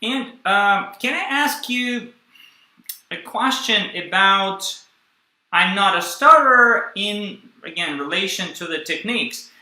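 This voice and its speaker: A man interviewing a guest, asking long questions in slow, searching phrasing full of fillers. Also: high voice, expressive, sharp tone. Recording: echoey room.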